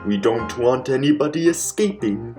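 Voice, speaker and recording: A man's voice: medium pitch, lively intonation, normal speed, mellow, soft background music, natural voice. A man playing a theatrical, gleeful mad scientist. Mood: sinister scheming. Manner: theatrical, exclaiming, cackling. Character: gleeful megalomaniac mad scientist, villainous and bossy. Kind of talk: 1940s radio mystery acting, comedic.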